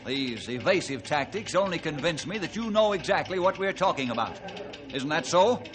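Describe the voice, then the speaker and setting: An actor playing a firm, upright forest ranger, earnest and assertive, in a 1950s radio drama. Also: male voice, on the high side, expressive, moderate pace, natural voice. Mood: stern.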